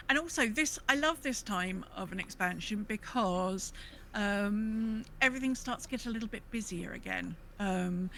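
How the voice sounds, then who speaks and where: A woman's voice: medium pitch, gravelly, fairly bright tone, slight background noise. A woman chatting casually and enthusiastically, as a co-host on a gaming podcast.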